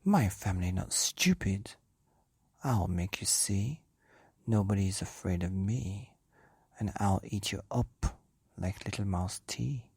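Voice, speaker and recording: A man voicing the Gruffalo's wife, a fierce, boastful monster mother who makes hushed, whispery threats. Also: sits low, lively intonation, slow pace, no room sound, exaggerated voice.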